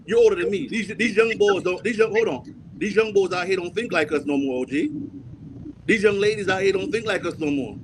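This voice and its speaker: A man in his forties from Jamaica leads a heated panel debate as its host. His voice sits a little high for a man. He talks forcefully, keeps cutting in with "hold on" and repeats himself to hold the floor, over a faint hum of background noise. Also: fairly quick. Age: 40s.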